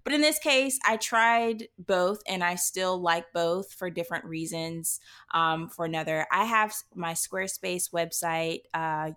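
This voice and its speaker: A woman guest on a podcast speaks with a fairly low voice. She is short on sleep, but she stays upbeat and laughs between her words, with fillers and small restarts. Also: unhurried, few pauses, bright, forceful tone. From American South. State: sleep deprived. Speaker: guest, wedding photographer. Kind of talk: casual podcast interview.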